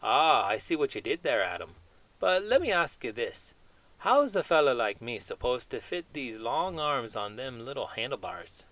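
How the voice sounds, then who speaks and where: A man's voice: mid-range voice, sing-song, normal speed, pushed and bright. A man voicing a folksy, slangy chimpanzee from Minnesota, a chatty big dreamer bantering in a children's read-aloud. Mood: curious, playful. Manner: folksy, drawling slangy grammar with "them" and "ain't".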